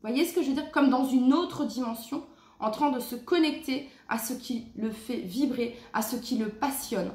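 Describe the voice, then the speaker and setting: A woman giving a casual tarot reading at home, chatty and full of fillers, reading the card texts slowly and gently. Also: high voice, echoey room. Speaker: tarot reader. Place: her home. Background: cleanup noise outside.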